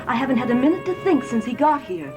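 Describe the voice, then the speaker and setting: An actress playing an anxious, eager wife and devoted daughter in a gothic soap, speaking emotionally and haltingly. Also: female voice, high-pitched, very animated. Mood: pleading. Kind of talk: 1960s-70s gothic TV soap acting. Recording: old TV soundtrack with music underneath.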